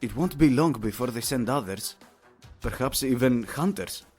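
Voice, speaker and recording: A man's voice: mid-range voice, expressive, moderate pace, a little mellow, faint music behind, natural voice. An actor playing a deferential henchman to a villain boss in an audio drama. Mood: deferential, concerned. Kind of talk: scripted audio drama acting.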